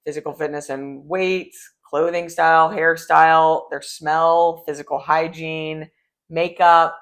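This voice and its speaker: A woman with a low voice for a woman, co-hosting a casual chat and talking freely with many fillers. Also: little pitch movement, measured pace. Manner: chatty, frequent "like" and "you know". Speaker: co-host.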